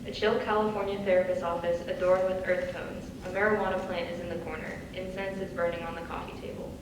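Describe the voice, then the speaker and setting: A woman's voice: on the low side, little pitch movement, quick pace. A woman reading scripted stage directions as a narrator in a live reading. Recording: distant echoey hall, clear background noise.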